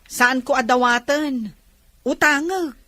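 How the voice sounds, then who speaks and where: A woman's voice: high-pitched, slow pace, a bit bright, dry recording. A woman with an Ilocano accent playing a nosy, pushy middle-aged neighbour in a radio comedy drama, mocking and wheedling. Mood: pushy, scoffing. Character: nosy, pushy older neighbour woman, always asking loans.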